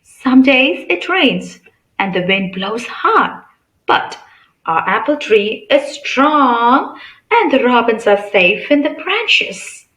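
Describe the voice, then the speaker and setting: A woman's voice: fairly high pitch, sing-song, takes it slowly, a bit bright, echoey room, rough recording. A woman reading a picture book aloud to children in a teacherly way, asking questions and explaining simply. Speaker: read-aloud channel host.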